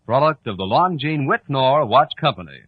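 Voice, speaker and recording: A man's voice: medium pitch, big pitch swings, normal speed, a little hiss or hum. A man with an American accent reading scripted station announcements in a formal, emphatic 1940s radio style. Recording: dull old radio recording.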